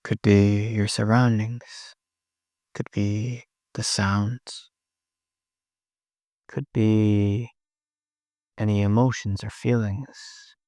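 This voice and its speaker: A man leading a guided meditation in short, gently instructive phrases with long pauses between them. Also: lowish voice, flat delivery, no room sound.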